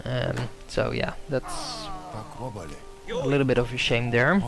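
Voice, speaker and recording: A man's voice: mid-range voice, slow, creaky, over music. A young Dutch-native man giving hesitant gameplay commentary, full of ums and self-corrections.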